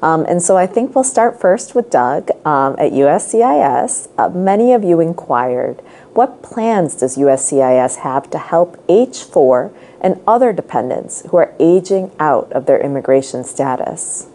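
Young female moderator in a studio, low mellow voice, polite and orderly. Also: sing-song, moderate pace. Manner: polite, reads compiled questions. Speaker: town hall moderator. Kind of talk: moderating questions. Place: indoor studio.

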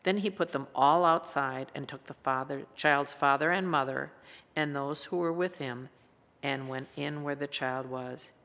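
Low creaky older American woman reading scripture. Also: fairly bright tone. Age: 70s. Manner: measured, reading from a page. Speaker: lay scripture reader. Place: church pulpit indoors. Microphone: gooseneck lectern microphone. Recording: reverberant church hall.

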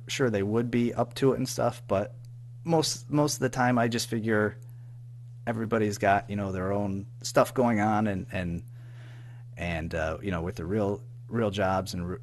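Low-voiced man chatting haltingly. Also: steady tone, a little hiss or hum. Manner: hesitant, halting, self-effacing. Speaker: guest, audio drama writer and producer. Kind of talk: casual interview chat.

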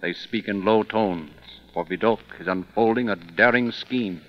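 A man giving measured, dramatic scripted narration in a 1930s radio style. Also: lowish voice. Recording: dull 1930s radio recording. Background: music.

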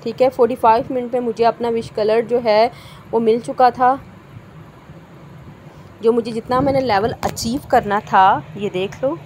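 A woman's voice: on the high side, steady tone, normal speed, darkish tone, slight background noise, rough recording. A woman, a hairstylist narrating a casual how-to tutorial in a salon and often saying "theek hai ji". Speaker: hairstylist and channel host. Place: hair salon.

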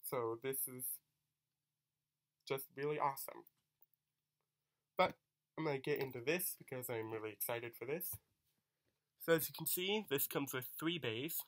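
A young man with a cold chatting excitedly through a toy unboxing at home, recorded on a camera mic. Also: medium pitch, a little slow, pauses often. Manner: excited, chatty, self-deprecating. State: coming down with a cold. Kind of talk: casual unboxing commentary. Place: small home room, bedsheet backdrop.

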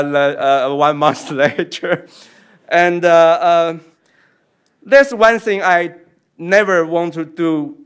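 A young man from Taiwan speaking English slowly, with a light, fairly high voice. His casual talk is self-deprecating and full of fillers. He speaks at a lectern mic in a library meeting room. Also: clear, resonant tone. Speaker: visiting writer on a panel. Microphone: lectern microphone.

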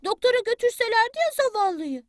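Woman doing a high, eager little chick. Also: sing-song, quick pace, dry recording, studio quality. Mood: curious.

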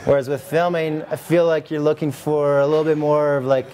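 A man's voice: on the high side, little pitch movement, fairly quick, dark tone. A young man from Canada, a pro skier, chatting casually and easily in a lodge room. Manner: easygoing, laid-back, laughing often. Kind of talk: casual chat. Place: lodge room with trophies, indoors.

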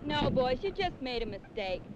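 Preachy safety superheroine, muffled old film. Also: female voice, high voice, sing-song. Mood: reassuring, cautionary. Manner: didactic, earnest, measured. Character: preachy, reassuring superheroine safety woman teaching children. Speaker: safety instructor in educational film. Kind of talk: scripted 1970s educational film acting and narration. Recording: muffled, noisy 1970s educational film soundtrack.

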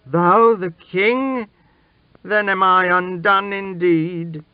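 A man's voice: on the high side, takes it slowly, no room sound, dull audio, pitched above the performer's own voice. A man voicing a humble young pauper boy, frightened and reverent, pleading in old-fashioned, archaic speech. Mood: horrified.

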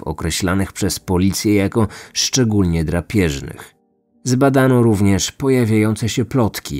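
A man's voice: fairly low pitch, a little mellow. A man reading a scripted true crime story as the narrator and host. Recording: music under the voice. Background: background music.

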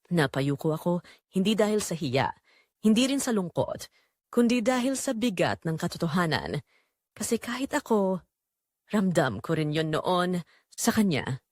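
Expressive woman narrating a reflective drama. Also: lowish voice, dry recording, studio quality. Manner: reflective, measured. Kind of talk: first-person dramatic story narration.

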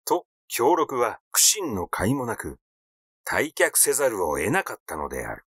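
A man's voice: mid-range voice, wildly swooping pitch, normal speed, a bit bright, breathy edge, dry recording. Man narrating a mystery, dry close sound. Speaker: audiobook narrator. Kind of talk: literary narration of a mystery story.

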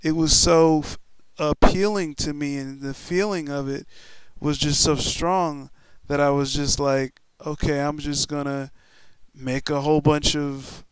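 A man's voice: a little high, fairly even intonation, unhurried, clear, resonant tone, dry recording. A man talking casually and enthusiastically in a rambling, interview-like way about his creative work. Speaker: music video maker. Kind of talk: casual interview-style talk.

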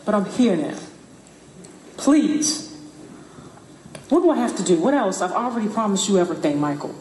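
A woman's voice: mid-range voice, sing-song, moderate pace, darkish tone, natural voice. An actress playing a desperate wife pleading with her man in a live stage play. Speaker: stage actor. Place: theater stage. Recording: echoey theater with clear background noise.

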